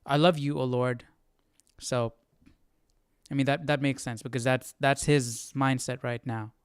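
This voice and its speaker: A man hosting an informal Bible study, teaching casually and thinking aloud with halting, repeated phrases. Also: medium pitch, steady tone, clean tone, no echo. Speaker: host of a Bible study live stream.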